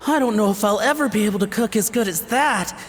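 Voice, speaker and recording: A woman's voice: mid-range voice, fast talker, long reverb tail, natural voice. A woman playing a humble, earnest young amateur cook in a video game. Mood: awed. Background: faint music.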